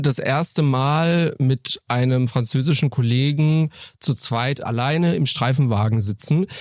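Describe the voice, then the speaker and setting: A man's voice: medium pitch, darkish tone, dry recording. A man hosting a studio interview, asking probing follow-up questions. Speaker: podcast host. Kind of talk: interviewing guests.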